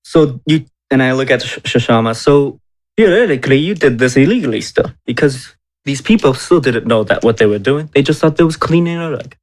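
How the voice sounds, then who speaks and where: Man playing a tabletop game, mid-pitched. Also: lively intonation, a little fast. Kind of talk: casual in-character game banter.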